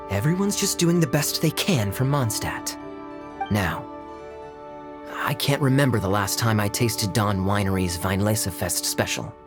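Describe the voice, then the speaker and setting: A man performs a playful young cavalry captain from a fantasy game, all smooth persuasion and sly theatrics. The pitch swings freely from phrase to phrase, with music playing underneath. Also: close to an everyday voice. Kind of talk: scripted video game voice acting. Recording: background music under dialogue.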